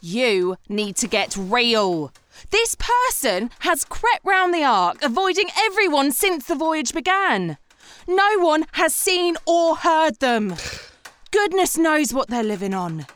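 A woman's voice: sits high, very animated, slow pace, a bit bright, exaggerated voice. A British actress playing an earnest, bossy woman writer turned amateur detective, precise and exasperated, in a comedy audio drama. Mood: earnest, pedantic.

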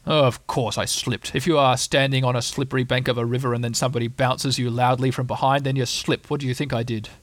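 A man voicing a gloomy, aggrieved storybook donkey with a slow, deadpan, sarcastic way of complaining. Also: medium pitch, no room sound, close to an everyday voice.

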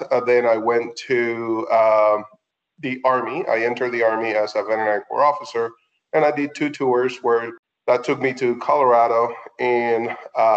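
A middle-aged man with a Puerto Rican accent, a native Spanish speaker, talking conversationally as an interview guest with halting phrasing. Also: mid-range voice, dull audio. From Puerto Rico. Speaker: veterinarian, podcast guest.

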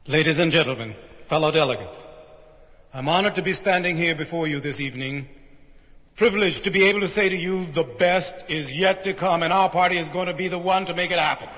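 A polished, self-assured politician played by a male actor delivers grand, formal oratory in a radio play. His voice is high-pitched and bright with a forceful edge. It rings out with long hall reverb. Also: brisk, lightly acted. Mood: confident oratory. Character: confident, polished politician orating to party delegates. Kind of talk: convention speech in radio drama.

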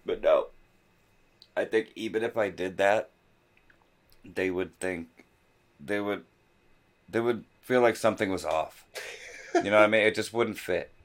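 A man's voice: lowish voice, a little slow, long pauses. A young man chatting casually on a podcast, rambling and swearing with frequent laughs. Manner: rambling, profane, laughs a lot. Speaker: podcast host.

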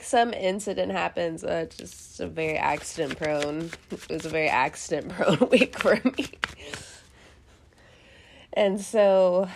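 A woman's voice: on the low side, gravelly, slight background noise. A young woman with a head cold hosting a casual tarot reading, chatty and rambling, laughing often and cursing now and then. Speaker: tarot reader host.

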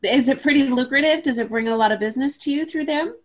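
A woman's voice: sits high, fast talker. A woman hosting an interview, mostly giving brief encouraging replies. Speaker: interview host. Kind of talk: casual interview chat.

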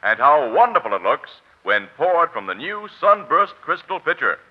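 A man's voice: a little high, very animated, normal speed. An American man announcing and reading sponsor copy in a polished, declamatory 1940s radio style. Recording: muffled vintage radio recording, music behind.

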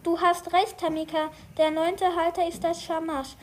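An eager young boy, acted by a woman in a children's audio play. The voice is extremely high, and the delivery is careful and explanatory, like reading out a script. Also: little pitch movement, moderate pace.

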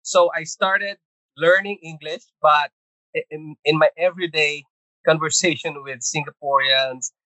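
Bright-voiced Filipino man, hesitant. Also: on the high side, fairly even intonation, measured pace, no echo, poor audio. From Philippines. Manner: hesitant, many fillers and restarts, laughs often. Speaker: podcast guest. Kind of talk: casual conversational interview.

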